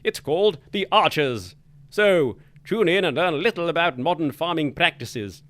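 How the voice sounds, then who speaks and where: A man's voice: on the high side, expressive, normal speed, fairly bright tone, no room sound. A man hosting a spoof 1940s wireless hour, his patter jolly and mock-pompous. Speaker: radio show host. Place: studio.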